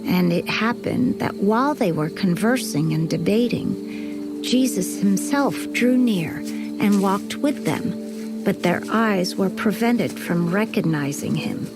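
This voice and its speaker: A woman narrating scripture in a measured storytelling manner for an audio Bible. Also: medium pitch, lively intonation, creaky, rough recording. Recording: studio voice over background music.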